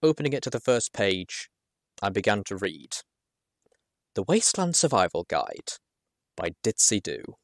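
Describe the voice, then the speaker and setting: Deep-voiced man narrating a story, dry sound. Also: very animated, measured pace, pauses often, no room sound. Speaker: audiobook reader. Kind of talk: dramatic first-person story narration.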